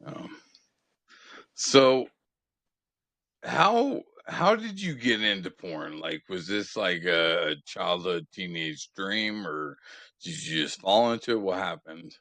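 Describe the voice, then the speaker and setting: A man's voice: deep voice, expressive, slow, a bit bright, no room sound. A man hosting his own interview show, asking questions in a casual, enthusiastic and blunt way, swearing freely. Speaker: interviewer and channel host.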